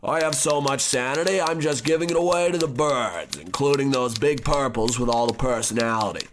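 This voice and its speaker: Fussy 1920s gentleman over noisy background male actor. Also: medium pitch, a little fast, natural voice. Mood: rambling, insistent. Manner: wordy, fussy, self-correcting. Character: earnest, rambling, unhinged well-educated gentleman of 1920s. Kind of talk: old radio drama parody, letter narration.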